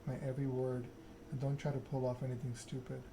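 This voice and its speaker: Man playing suave male vampire enforcer for a story game: calm threat, bored monotone, noisy ambient backdrop. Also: mid-range voice, quick pace, close to an everyday voice. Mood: calm menace. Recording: clear background ambience noise.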